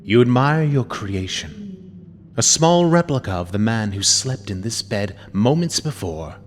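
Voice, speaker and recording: A man giving a theatrical, menacing dramatic reading of horror narration, deliberate and at times dropping into whispers. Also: mid-range voice, animated, slight background noise. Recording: long reverb over music. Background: music.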